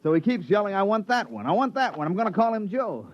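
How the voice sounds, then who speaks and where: A man playing an excitable, wisecracking maintenance chief in an old radio drama, slangy and exasperated. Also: sits high, fast talker, lo-fi sound, over music.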